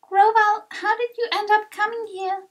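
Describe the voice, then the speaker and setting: A woman's voice: very high-pitched, level tone, moderate pace. A woman voicing an eager young creature explorer in a video game, full of exclamations. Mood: surprised.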